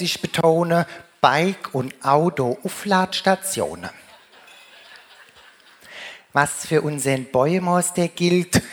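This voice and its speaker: A woman with a Rhine-Hessian German accent delivering a humorous carnival speech in dialect, declaimed in sing-song rhyming couplets. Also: sits low. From Germany, Rheingau region. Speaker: carnival speaker.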